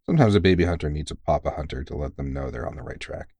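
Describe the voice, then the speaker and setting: Deep-voiced, weary veteran vampire hunter played by a man. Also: racing, warm tone, no room sound. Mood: fond, reassuring. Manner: informal, teasing, reassuring, sweary. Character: tired, kindly veteran male vampire hunter mentoring a rookie. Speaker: voice actor in audio roleplay. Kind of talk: ASMR comfort roleplay.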